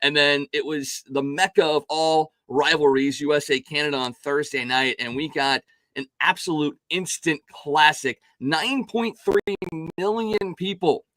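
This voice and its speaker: A man hosts a casual sports talk show, his voice raspy and worn hoarse. He talks in an upbeat, chatty way, restarting phrases often, with a slightly raised pitch. The recording is close and dry. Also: fairly even intonation, a little slow, fairly bright tone, no echo, poor audio. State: hoarse from calling games.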